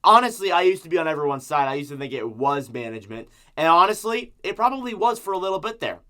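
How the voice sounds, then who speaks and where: A man's voice: on the high side, sing-song, fast talker, pushed and bright. A man with a sore throat from strep giving an emphatic, exasperated, opinionated sports rant as a fan making an online video. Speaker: hockey fan YouTuber.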